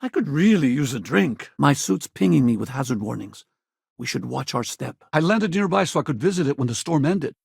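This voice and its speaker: A man playing an easygoing, loyal space pilot companion in a video game, husky and casual, with a wry, battle-ready streak. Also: medium pitch, expressive, brisk, a little mellow, no echo, studio quality, exaggerated voice. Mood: relaxed, casual. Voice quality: gravelly, husky. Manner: casual, colloquial, conversational.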